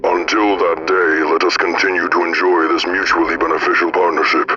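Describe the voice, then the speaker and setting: A man playing a shadowy informant in an audio drama, speaking formally and deliberately in measured, cryptic phrases. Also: low voice, flat delivery, bright, forceful tone, highs cut off.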